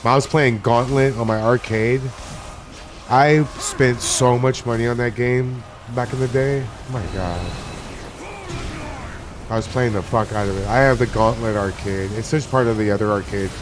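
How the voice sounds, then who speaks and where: A man's voice: mid-range voice, steady tone, moderate pace, background noise. Foul-mouthed rambling male streamer. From Miami, USA. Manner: profane, rambling, chatty. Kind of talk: casual live-stream chat while gaming. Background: game music.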